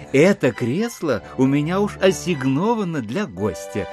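An actor playing a sugary, gracious, slow-witted country landowner in an audio drama, cloyingly polite and hesitant. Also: male voice, fairly high pitch, very animated, music in the background. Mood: sugary, obliging.

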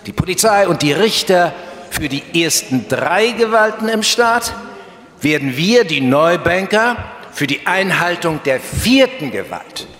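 An actor playing a grandiose banker-politician, giving a solemn, declamatory political speech in a satirical radio play. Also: male voice, sits high, big pitch swings, measured pace, a bit bright, full character voice. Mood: solemn justifying. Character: grandiose male banker-politician proclaiming a new law. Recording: echoey hall with long reverb.

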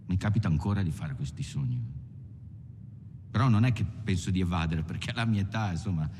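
An actor playing an ageing, haunted prisoner in a hesitant, introspective film monologue. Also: male voice, animated, a little fast, a little mellow. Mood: resigned, brooding. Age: older. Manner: hesitant, introspective, plain-spoken. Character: ageing male prisoner, defeated, haunted, resigned. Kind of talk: dramatised confessional film monologue. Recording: long reverb, faint rumbling noise.

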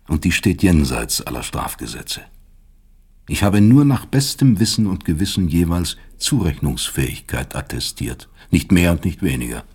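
An actor in a German radio play playing a proud, bitter older East German professor of psychiatry, articulate, lecturing and sardonic. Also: male voice, low-pitched, a little mellow, breathy edge, exaggerated voice.

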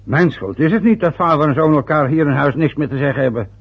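A bitter, boastful middle-aged father from an old radio play, grumbling at his son. His voice is raspy and husky, and the lo-fi recording sounds dull. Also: a little high, fast. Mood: reproachful. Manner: grumbling, reproachful.

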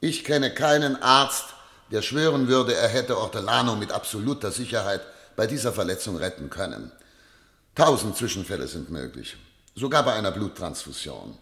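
Pompous, low-voiced judge with sweeping intonation. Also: male voice, animated, fairly bright tone. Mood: forceful, declamatory. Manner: rhetorical, deliberate, pompous courtroom oratory. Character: eloquent senior judge, former prosecutor, pompous and assured. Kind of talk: German radio play acting.

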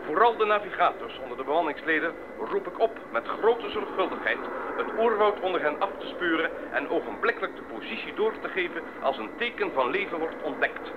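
An actor playing a military squadron captain in a radio drama, giving formal, clipped orders over aircraft radio. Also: male voice, on the high side, lively intonation, fairly quick. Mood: urgent, commanding. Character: commanding military air squadron captain leading a search. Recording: dull radio sound, loud engine noise.

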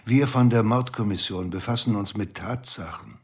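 An actor playing a weary, dismissive homicide commissioner in a radio drama, curt and condescending. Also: male voice, fairly low pitch, normal speed, breathy edge. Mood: weary, irritated.

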